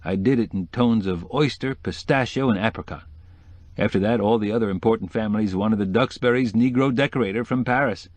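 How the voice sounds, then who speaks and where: A man's voice: lowish voice, brisk, no room sound, natural voice. A man voicing a witty, flamboyant decorator in an audiobook, sprinkling French phrases into hip slang. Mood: amused, confiding. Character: flamboyant, witty male interior decorator, sly and gushing. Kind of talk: acted audiobook dialogue.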